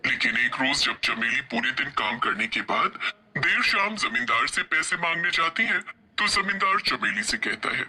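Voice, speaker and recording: A man's voice: medium pitch, sing-song, moderate pace, bright, forceful tone, breathy edge. A man narrating a scripted moral story as a storyteller.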